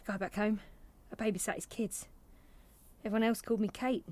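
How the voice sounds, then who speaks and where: An actress playing an anxious, stubborn young theme-park trainee with a British accent, in a halting, self-conscious, naturalistic audio drama style. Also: female voice, medium pitch, steady tone, a little mellow, lightly acted. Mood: hesitant, vulnerable. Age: young adult.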